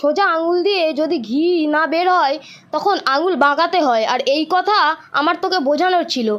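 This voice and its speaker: A gloating village bully, played by a woman, taunts in a very high voice. He is a spiteful male cartoon villain. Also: brisk, fairly bright tone, muffled recording.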